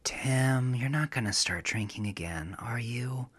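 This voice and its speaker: A man's voice: mid-range voice, a little slow, husky, pitched above the performer's own voice. Man voicing a bitter, sarcastic young woman. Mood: needling. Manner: sarcastic, profane, shouting when cornered. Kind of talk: dramatic audio story acting.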